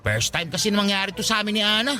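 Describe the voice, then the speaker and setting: A man plays a lovesick young fast-food worker with a high, forceful voice. He is jealous, whiny and pleading, radio-drama style, and his pitch leaps about animatedly. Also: lively intonation, soft background music, exaggerated voice. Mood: anxious, dejected. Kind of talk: Tagalog radio drama acting.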